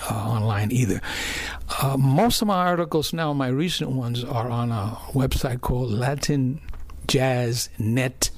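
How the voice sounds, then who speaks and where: Older male guest, hoarse, rambling conversational delivery, dry recording. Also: medium pitch, a little slow, no echo. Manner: rambling, repeats words, restarts. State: hoarse from a cold. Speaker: musician and art director guest. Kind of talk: conversational interview. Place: in person in New York.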